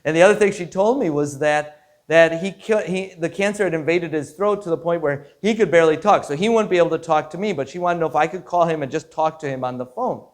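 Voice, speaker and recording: A man, a pastor giving a devotional story in an earnest, measured way, sometimes repeating words as he goes. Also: on the high side. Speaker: Lutheran pastor.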